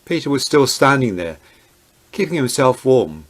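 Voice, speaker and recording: Man narrating scripture with lively pitch. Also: normal speed. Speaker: narrator, Bible reader.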